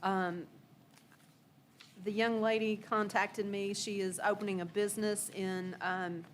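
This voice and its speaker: A woman's voice: medium pitch, little pitch movement, measured pace, slight background noise. A woman on city staff giving an informal report at a board meeting, hesitant with many fillers and restarts, her voice a bit worn. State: losing her voice. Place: council meeting room.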